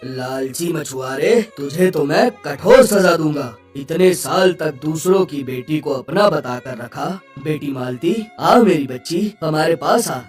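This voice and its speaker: A woman plays a cartoon king in a low register. He is stern, fatherly and commanding. The voice is slightly distant, with faint music behind. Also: expressive, a little slow, hardly any pauses, a little mellow. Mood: angry. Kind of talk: Hindi cartoon story dialogue.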